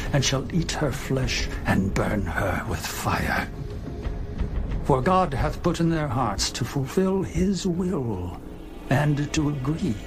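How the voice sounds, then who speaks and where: A man playing a solemn angel who explains a prophetic vision with deliberate delivery in a dramatized scripture reading. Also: mid-range voice.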